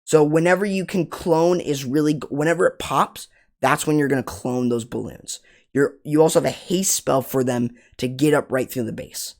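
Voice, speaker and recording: A man with a raspy voice hosting a casual game strategy guide, explaining attacks step by step and correcting himself as he goes. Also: on the high side, moderate pace. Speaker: gaming channel host.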